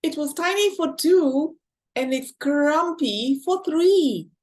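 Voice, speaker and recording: A woman's voice: sits high, expressive, slow pace, darkish tone, pitched above the performer's own voice. A woman with a Kenyan accent voicing a fretful little old woman who wails and pleads about her cramped house in a storybook. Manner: wailing, exclaiming and pleading.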